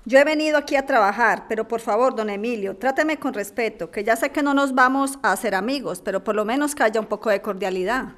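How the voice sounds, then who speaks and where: A woman's voice: fairly high pitch, brisk, few pauses, close to an everyday voice. A woman playing a patient, polite cleaner in an audio drama, deferential but firm about respect. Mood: firm, respectful. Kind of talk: acted audio drama dialogue.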